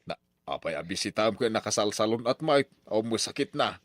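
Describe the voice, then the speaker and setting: A man's voice: lowish voice, lively intonation, fairly quick, no echo. A man playing a stern, money-minded older father in a radio drama, with an Ilocano accent and emphatic delivery. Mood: brusque.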